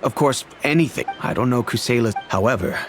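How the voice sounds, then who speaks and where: An actor playing a measured, courteous middle-aged master of a wealthy house in a video game, formal and deliberate. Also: male voice, mid-range voice, natural voice. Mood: obliging. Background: music.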